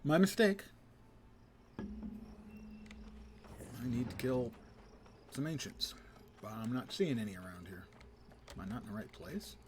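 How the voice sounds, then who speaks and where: A man's voice: medium pitch, big pitch swings, measured pace, long pauses, noisy background. A man casually commentating his video game live as a streamer, talking to himself in a wry way.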